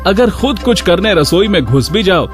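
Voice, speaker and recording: A man's voice: sits high, expressive, moderate pace. A man presenting a radio show in a scripted narration style. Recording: music bed behind the voice. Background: background music with guitar.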